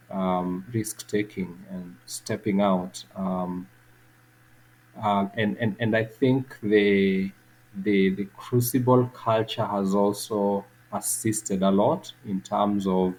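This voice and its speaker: A man from Kenya with a Kenyan accent, a podcast guest answering questions reflectively, halting with many repeats and fillers. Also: sits low, a little mellow, slight background noise, low-quality recording.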